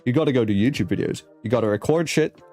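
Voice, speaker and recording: A man's voice: mid-range voice, lively intonation, quick pace. A man from South Africa with a South African accent, whose first language is Afrikaans, hosting a casual interview and chatting in a rambling way with many restarts. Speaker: host. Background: soft background music.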